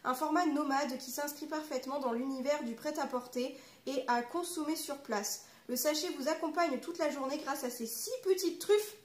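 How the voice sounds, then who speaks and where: A woman's voice: high-pitched, moderate pace. A woman in her twenties with a French accent from France, chatting informally and reading aloud as a vlogger. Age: 20s. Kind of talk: casual chat with reading aloud. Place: small living room at home. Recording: roomy echo, clear background noise.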